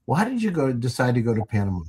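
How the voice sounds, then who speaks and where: Guy hosting an interview, middle pitch, curious, keeps throwing follow-up questions. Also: sing-song, fast talker, a little mellow, breathy edge. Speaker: podcast host.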